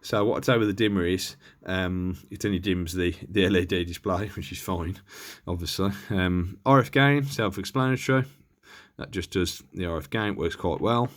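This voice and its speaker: Male presenter, low voice, off-the-cuff and tentative, talking through equipment informally. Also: moderate pace. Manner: hesitant, tentative, thinking aloud. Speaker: presenter of a radio equipment demonstration. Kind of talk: casual unscripted hands-on demonstration.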